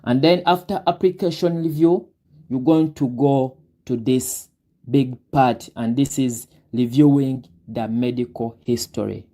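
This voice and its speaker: A young man with a Ugandan accent, a guest recruit talking deliberately through his advice with many fillers. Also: mid-range voice, mellow. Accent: Ugandan English. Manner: deliberate, breaks words down slowly with many fillers. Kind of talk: advice talk on a live Q&A.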